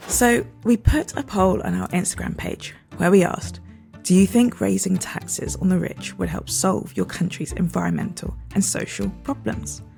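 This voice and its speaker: A woman's voice: medium pitch, big pitch swings, moderate pace, warm tone, faint music behind. A woman co-hosting a podcast, reading an introduction and then putting questions to a guest, hesitant when improvising. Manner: reads smoothly, hesitant when asking questions. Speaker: podcast co-host.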